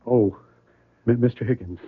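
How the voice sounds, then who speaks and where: An actor playing a troubled, evasive husband with a halting, strained delivery in an old-time radio drama. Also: male voice, medium pitch, narrow, tinny audio, a little hiss or hum, natural voice. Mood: weary. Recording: thin, band-limited old radio recording.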